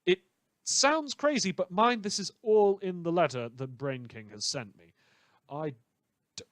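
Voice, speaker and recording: A man's voice: high-pitched, expressive, measured pace, fairly bright tone, no echo, studio quality, pitched above the performer's own voice. A man playing a wry, shady radio host who rambles sardonically and hesitantly, with dramatic emphasis, in a scripted fictional broadcast. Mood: sardonic, musing.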